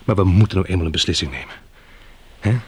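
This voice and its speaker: A man's voice: sits low, animated, fast talker, darkish tone, slightly rough, full character voice. An actor playing an earnest, practical man in his late twenties who survived a catastrophe, in a radio drama. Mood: earnest, persuasive. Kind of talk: Dutch radio drama acting.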